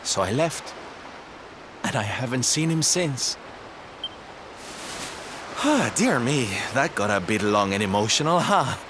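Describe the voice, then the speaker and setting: A man's voice: mid-range voice, big pitch swings, slow pace, pauses often, noisy background. An actor playing a flamboyant, sensitive male circus performer in a video game, camp and dramatic in his delivery. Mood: rueful, resolving. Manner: camp, dramatic, sighing, coy. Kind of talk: video game character acting.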